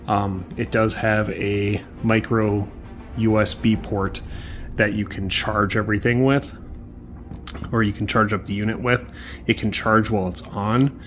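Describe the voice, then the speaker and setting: A man giving a casual hands-on explanation in a halting, thinking-aloud way. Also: lowish voice, fairly bright tone, a little hiss or hum. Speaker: hobbyist tutorial maker.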